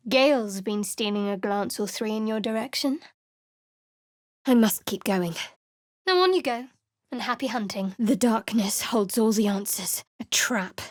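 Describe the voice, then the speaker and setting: A woman voicing a guarded young female cleric of a dark goddess in a video game, cool, reserved and wry, whispering her prayers close to the mic. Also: medium pitch, lively intonation, a little slow, dry recording, lightly acted. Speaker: game companion character. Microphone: close studio mic.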